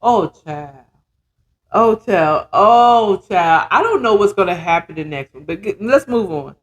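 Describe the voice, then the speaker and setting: A podcast host with a mid-pitched voice tells a story at a slow, drawn-out pace. The delivery is casual and dramatic, leaning on repeated words for emphasis, with blunt, slangy and sometimes profane commentary. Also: lively intonation. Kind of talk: casual, animated retelling of a story chapter with commentary.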